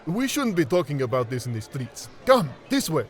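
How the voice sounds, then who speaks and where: An actor playing a warm, excitable Algerian man, exclaiming exuberantly in an audio drama. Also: fairly high pitch, moderate pace. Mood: earnest.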